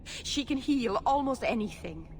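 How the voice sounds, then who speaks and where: An actress playing an earnest young female elf mage, gentle but stubborn, in a fantasy video game. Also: fairly high pitch, lively intonation, measured pace, a little hiss or hum. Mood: worried, urgent.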